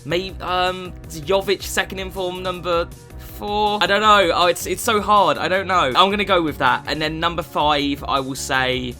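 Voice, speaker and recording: A man's voice: a little high, pushed and bright. A twenty-one-year-old man, casually answering fan questions for his gaming channel, chatty and sweary, with many restarts. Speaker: gaming YouTuber.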